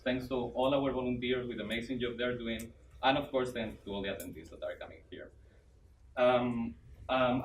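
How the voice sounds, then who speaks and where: A man welcomes everyone to a developer conference, thanking sponsors and volunteers with plenty of ums and uhs. His voice is picked up from a distance in a large hall, with noise underneath. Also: mid-range voice. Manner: reads a scripted welcome, then thanks people with many fillers. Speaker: conference organizer. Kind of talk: opening welcome speech.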